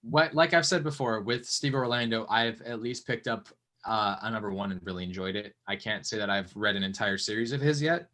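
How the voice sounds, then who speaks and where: A man's voice: on the low side, brisk, pushed and bright. A man hosting a casual comics podcast, talking loosely with lots of ums and uhs. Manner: rambling, hesitant, many fillers. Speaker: podcast host.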